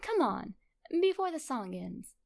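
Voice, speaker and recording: A woman voicing a gentle, affectionate young woman, hopeful and lightly teasing, in a story reading. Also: high-pitched, sing-song, unhurried, vocal fry, pitched above the performer's own voice, exaggerated voice. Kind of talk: fan fiction audio reading.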